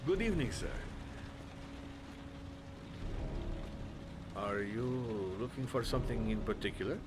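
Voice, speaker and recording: A man's voice: lowish voice, expressive, a little fast, lightly acted. Man playing a dignified, formal grieving shopkeeper, noisy ambience. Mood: polite. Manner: formal, stilted courteous phrasing. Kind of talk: dramatic video game acting. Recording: clear background noise and ambience.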